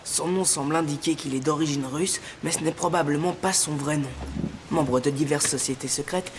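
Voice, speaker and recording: A man's voice: on the high side, normal speed, slight background noise, lightly acted. An actor playing an earnest young male journalist in a French cartoon. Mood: serious.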